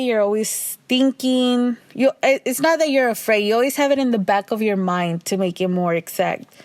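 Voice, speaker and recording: A young woman with a raspy voice hosting her own podcast, talking loosely and casually, swearing freely, a little tipsy. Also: on the high side, dry recording. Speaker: podcast host. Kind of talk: casual solo monologue.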